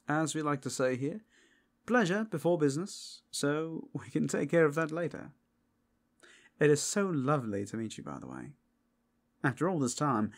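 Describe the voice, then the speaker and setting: Man playing a suave, teasing vampire, lively pitch swings. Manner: playful old-fashioned gentlemanly phrasing, drawn-out delivery. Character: suave flirtatious ageless male vampire, teasing and genteel. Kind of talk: audio roleplay acting.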